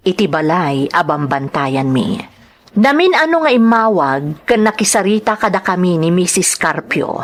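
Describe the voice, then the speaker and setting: A woman with an Ilocano accent playing an earnest, hurt young wife in an audio drama. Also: mid-range voice.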